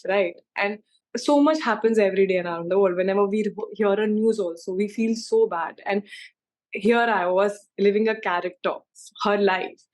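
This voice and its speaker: A young woman with an Indian accent, an actress speaking casually and emphatically in an interview, often using "like" and "you know". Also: mid-range voice. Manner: informal, emphatic, peppered with 'like' and 'you know'.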